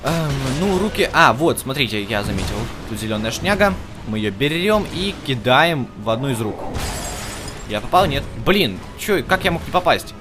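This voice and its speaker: A man's voice: medium pitch, sing-song, moderate pace, music in the background. A man giving casual let's play commentary as host, chatting to the game's characters and to his viewers. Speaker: gaming video host.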